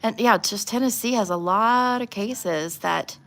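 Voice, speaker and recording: A woman's voice: on the high side, measured pace, vocal fry, fairly bright tone. A woman hosting a casual livestream, talking informally and bluntly as she gives her opinions. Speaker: livestream host doing nail art. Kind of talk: casual livestream chat with true crime commentary.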